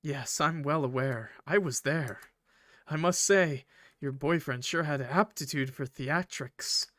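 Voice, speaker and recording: A man voicing a polite, eloquent male train conductor villain from a visual novel, formal and measured, with quiet menace. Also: fairly high pitch, dry recording, clean studio sound. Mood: calm, menacing. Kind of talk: visual novel character read aloud.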